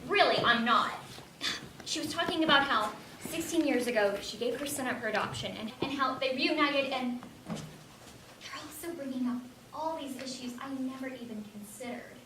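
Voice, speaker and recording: An earnest, high-voiced young woman searching for her roots, played by a woman on stage. Her lines carry across an echoey room to a distant microphone. There is clear noise over the amateur play. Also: moderate pace, lightly acted. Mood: excited. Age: 20s. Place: stage of a one-act play.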